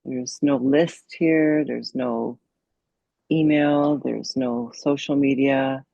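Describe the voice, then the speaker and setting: A woman meditation coach leading a guided meditation in a soothing, unhurried, gently instructive manner with long pauses. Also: rumbling low, steady tone, gravelly, dry recording, muffled recording.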